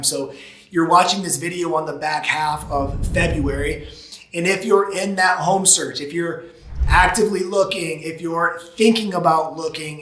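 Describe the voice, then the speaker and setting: A hoarse man in his thirties, a real estate agent talking casually and energetically to the camera from an office. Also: fairly high pitch, echoey room, poor audio. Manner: energetic, gesturing with both hands. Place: office room with glass walls.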